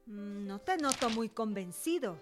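A woman's voice: medium pitch, animated, a little slow, music in the background, close to an everyday voice. An actress in a radio drama playing a cultured, determined young woman who speaks in an assured, questioning way. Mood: playfully guarded.

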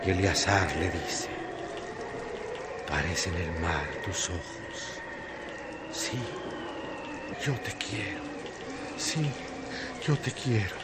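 A brooding male storyteller narrates a literary radio drama in a fairly low voice. The delivery is slow, poetic and dramatic. Loud noise runs through the recording. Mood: intense, tender.

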